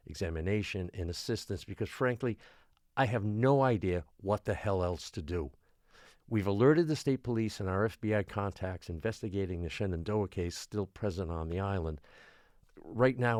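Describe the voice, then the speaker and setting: A man playing an exasperated, forceful small-town mayor in an audio drama, speaking bluntly and officially. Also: deep voice, no echo, studio quality, natural voice. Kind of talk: dramatic podcast acting.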